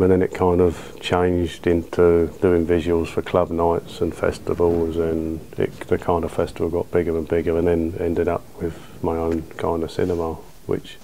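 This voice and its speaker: British man, thirties, deep voice, even monotone, colloquial interview talk, some background ambience. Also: creaky, a little hiss or hum. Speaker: cinema owner being interviewed. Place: small dark cinema auditorium, indoors. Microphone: lapel.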